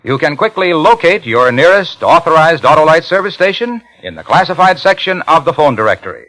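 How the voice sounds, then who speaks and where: A man's voice: fairly high pitch, sing-song, fairly quick, fairly bright tone, poor audio. A man announcing a scripted 1950s radio commercial with polished, emphatic salesmanship. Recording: vintage 1950s radio recording.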